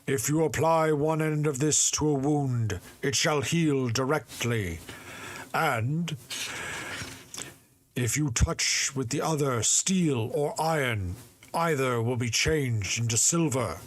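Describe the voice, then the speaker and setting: A man's voice: mid-range voice, takes it slowly, voice pushed up, close to an everyday voice. A man voicing a giant male spirit from a bottle, making grand promises. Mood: promising.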